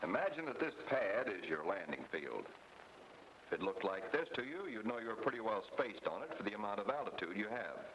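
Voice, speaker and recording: A man giving measured, scripted instructional narration, explaining step by step like a flight instructor. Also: lowish voice, big pitch swings, fairly bright tone. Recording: noisy vintage training film soundtrack, engine drone.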